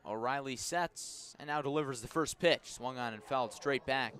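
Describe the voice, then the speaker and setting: A man doing live radio baseball play-by-play as the announcer, measured between pitches and lifting into excited calls on the action. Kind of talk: live radio sports commentary.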